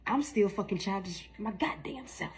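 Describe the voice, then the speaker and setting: A woman's voice: medium pitch, moderate pace. A millennial woman giving a casual comic rant, blunt and salty in her delivery. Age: young adult to middle-aged, millennial. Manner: blunt, sweary. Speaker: mother. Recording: faint background noise.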